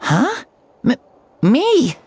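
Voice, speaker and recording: A woman voicing an earnest, wide-eyed young child in an anime game, speaking hesitantly and haltingly. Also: high-pitched, huge pitch swings, darkish tone, slight background noise, heavily acted. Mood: confused. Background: background music.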